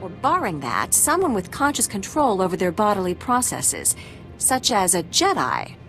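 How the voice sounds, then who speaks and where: A woman acting a brisk, dry-witted medical researcher in a video game, wry and matter-of-fact. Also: medium pitch, huge pitch swings, a bit bright, soft background music. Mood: measured, persuasive.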